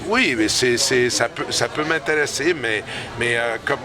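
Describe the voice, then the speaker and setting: A middle-aged hockey coach, answering post-game interview questions slowly, with many pauses and restarts. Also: male voice, medium pitch. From Canada. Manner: hesitant, many fillers and restarts. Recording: loud crowd chatter behind.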